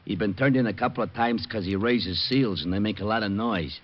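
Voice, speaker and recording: Actor as a dim, hesitant police sergeant. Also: male voice, lowish voice, brisk, lightly acted. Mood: absent-minded, dim. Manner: hesitant, absent-minded, dim. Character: slow-witted, scatterbrained male police sergeant. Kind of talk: old-time radio comic acting. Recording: dull old radio recording.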